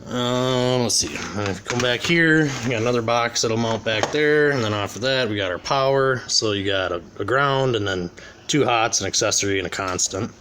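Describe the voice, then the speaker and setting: A man's voice: medium pitch, moderate pace. A man giving a casual, unscripted hands-on demonstration in a plain-spoken, rambling way, recorded on a handheld camera at a desk indoors. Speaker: hobbyist demonstrating a radio. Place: indoors at a home radio desk. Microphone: handheld camera mic, near the desk. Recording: clear background noise, handheld camera.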